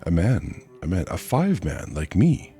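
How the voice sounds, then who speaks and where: A man's voice: deep voice, huge pitch swings, unhurried, warm tone, husky, soft background music, voice pushed up, full character voice. A man voicing an eager male ape man who gabbles and repeats himself in a storybook reading. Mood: excited. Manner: gabbling, repetitive.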